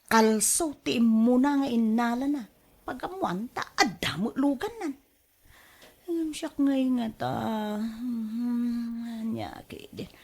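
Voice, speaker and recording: A woman's voice: fairly high pitch, takes it slowly, darkish tone, husky, exaggerated voice. A woman with an Ilocano accent playing a covetous, scheming wife in a radio drama, exclaiming, wheedling and sighing. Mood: restless, fretful. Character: covetous, scheming wife craving a car of her own.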